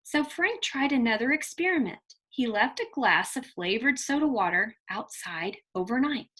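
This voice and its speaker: A woman with a bright, forward voice reads a children's story as a teacher would. Her delivery is expressive and emphatic, with key words set out deliberately for young listeners, and she speaks to them directly. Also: fairly high pitch, husky. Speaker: teacher reading a picture book to children.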